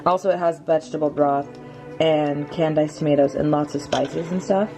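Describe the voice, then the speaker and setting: A young woman with a deep, raspy voice, a bit hoarse from a cold, chatting casually in a home vlog. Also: steady tone, moderate pace, dark tone, faint music behind. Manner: chatty, rambling. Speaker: vlogger.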